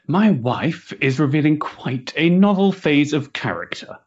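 An actor playing a tense, formal gentleman of the Victorian era, clipped and hesitant, in a period stage drama. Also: fairly high pitch, big pitch swings, a little slow, slightly rough, lo-fi sound, full character voice. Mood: uneasy, formal.

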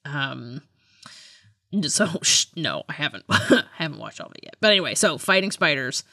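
A woman's voice: sits low, very animated, moderate pace, gravelly, bright, forceful tone, no echo, studio quality. A woman co-hosting a podcast in casual chat, with a giggly, self-deprecating way of talking. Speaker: podcast co-host.

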